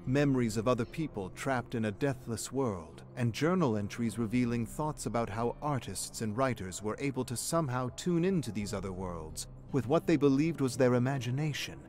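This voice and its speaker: A man's voice: mid-range voice, animated, moderate pace, few pauses, a little mellow. A man reading game lore as scripted dramatic story narration. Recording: music playing behind the voice.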